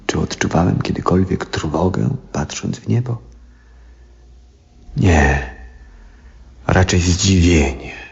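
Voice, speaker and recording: An old, dying astronomer in a radio play, with an extremely low, breathy voice. He muses slowly and wearily, full of quiet awe, often sinking to a hush. The old recording sounds dull and muffled. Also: exaggerated voice. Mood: pensive. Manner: slow, pensive soliloquy with rhetorical questions. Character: weary old male astronomer near death, reflective, awed. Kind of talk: 1970s Polish radio drama acting.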